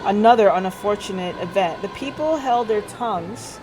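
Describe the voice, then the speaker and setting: A woman's voice: mid-range voice, animated, normal speed, low-quality recording. A woman streamer reading visual novel text aloud on a livestream. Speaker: game streamer.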